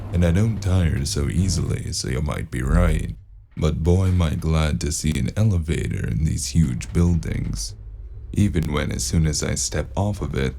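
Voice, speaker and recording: A man playing a weary, sardonic hard-boiled investigator, narrating a noir audio drama in a gravelly, deadpan way. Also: very deep voice, a little hiss or hum, exaggerated voice. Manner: deadpan, dry, brooding.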